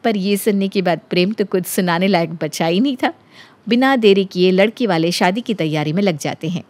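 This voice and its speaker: A woman narrating a scripted animated story as its storyteller. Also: on the low side, normal speed, dry recording.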